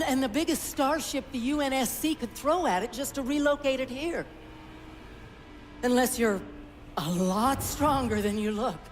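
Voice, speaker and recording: An outspoken scientist, long reverb. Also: female voice, high voice, a little hiss or hum. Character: outspoken female research scientist, anxious, stubborn, wry. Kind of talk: video game cutscene acting. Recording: long reverb, music behind.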